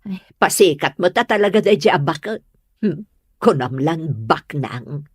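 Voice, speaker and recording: A woman playing a chatty, expressive village woman in a comedy radio drama. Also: deep voice, measured pace, husky, no room sound, studio quality, full character voice. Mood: gossiping.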